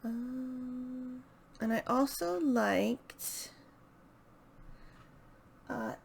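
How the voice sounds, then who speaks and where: A woman's voice: high voice, extremely slow, creaky, slight background noise. A woman chatting casually and unscripted as the host of a video channel, hesitant and thinking aloud as she goes. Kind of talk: casual unscripted book chat to camera.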